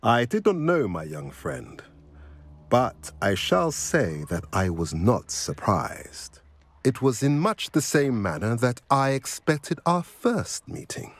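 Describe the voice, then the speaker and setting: A man playing a wise, solemn centaur seer in a video game, speaking in formal, measured, archaic phrases with a cryptic, protective air. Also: medium pitch, very animated.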